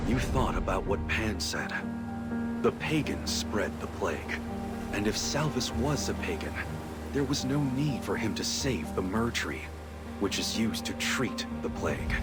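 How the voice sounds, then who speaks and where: A man giving scripted story narration for a video game. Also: lowish voice. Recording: loud music under the voice.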